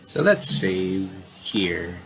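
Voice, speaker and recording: A man's voice: low voice, big pitch swings, extremely slow, sharp tone, slight background noise, low-quality recording. A man doing casual let's play commentary, reading game text aloud and rambling a little as he repeats and rephrases himself. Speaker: gamer reading a visual novel aloud with commentary.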